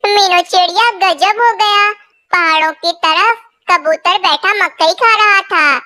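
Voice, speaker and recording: A performer voicing a gleeful lying male crow with a squeaky childlike voice in a children's cartoon story. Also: slow pace, sharp tone, dry recording, studio quality, exaggerated voice. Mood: scheming. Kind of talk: children's animated story dialogue.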